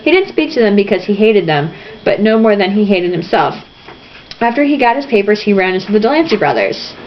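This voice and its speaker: A woman's voice: medium pitch, very animated, fairly quick. A woman reading a novel aloud informally, theatrical and irreverent, with asides. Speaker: reader. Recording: dull lo-fi home recording, faint noise.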